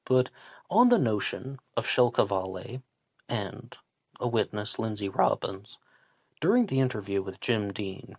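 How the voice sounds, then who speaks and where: Low-voiced West Virginian man, dry room. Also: very animated, measured pace, creaky, breathy edge, no room sound. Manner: conversational, thinking aloud with hedges and restarts. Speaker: podcast host. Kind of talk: true crime commentary.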